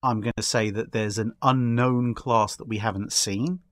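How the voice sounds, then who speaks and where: Low male voice, casual discussion, speculative explaining, halting phrasing, repeated words. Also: fairly even intonation, no echo, clean studio sound. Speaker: podcast guest.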